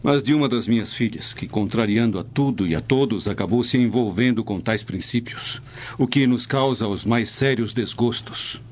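A man's voice: medium pitch, moderate pace, close to an everyday voice. An actor with a Brazilian accent playing a troubled, stern Roman father, speaking formally in a radio drama. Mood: grave, troubled. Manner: formal, solemn, literary speech. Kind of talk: dubbed radio drama acting. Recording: dull lo-fi, noisy ambience.

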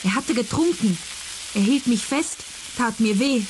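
A woman's voice: on the high side, lively intonation, unhurried. An actress playing a young female student in a radio play, confessing plainly and with resignation. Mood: distressed confession. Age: 20s. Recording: clear sizzling background noise.

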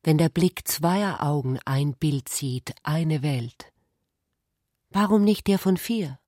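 A woman reads literary text for a radio production. Her voice sits low, and she moves slowly and deliberately through each phrase in a very dry acoustic. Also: a little mellow, dry recording.

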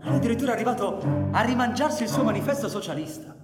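A fiery anarchist in echoing reverb. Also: male voice, very high-pitched, lively intonation, fast, over loud music. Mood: bitter, brooding. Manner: ranting, theatrical, rhetorical. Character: fiery, bitter young anarchist worker, sick lungs. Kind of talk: stage-style radio drama acting. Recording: echoey reverberant stage, live cello behind.

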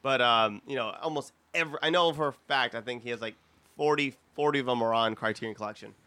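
Bright-voiced man in emphatic podcast chat. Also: medium pitch, fast talker, dry recording. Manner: opinionated, emphatic, swears freely. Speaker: podcast co-host. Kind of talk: casual group chat.

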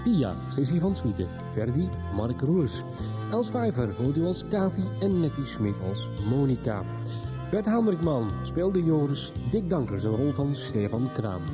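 A male announcer reads the closing credits of a radio serial in a measured, announcing tone. His voice has a lively rise and fall, with music clearly playing underneath. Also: fairly high pitch.

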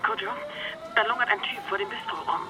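A woman's voice: on the high side, wildly swooping pitch, fast, gravelly, over music, full character voice. A woman playing a practical, worried young waitress in a radio drama, speaking in a natural, direct way. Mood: urgent, worried. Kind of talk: German radio drama acting.

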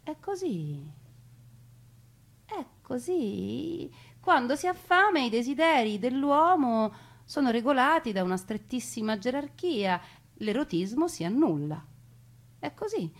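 A woman narrating a theatrical monologue in a dramatic, deliberate way, lingering over her lists. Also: on the high side, sing-song. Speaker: stage actress and narrator.

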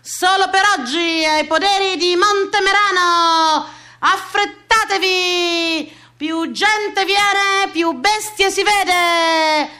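A woman playing a bombastic fairground sideshow barker in a theatrical radio piece, crying out drawn-out, declamatory phrases. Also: very high-pitched, fairly even intonation, sharp tone, pitched above the performer's own voice, full character voice. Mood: bombastic hawking.

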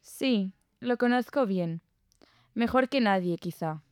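A woman's voice: mid-range voice, takes it slowly, smooth, no room sound, clean studio sound, lightly acted. A woman playing a committed, wistful writer in an audio drama, with a composed and pensive manner. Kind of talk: scripted audio drama dialogue.

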